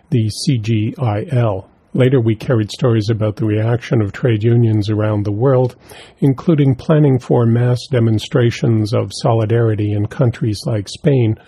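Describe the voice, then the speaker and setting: A man delivering a scripted labour news report as correspondent, measured and steady. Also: medium pitch, slightly rough, no echo.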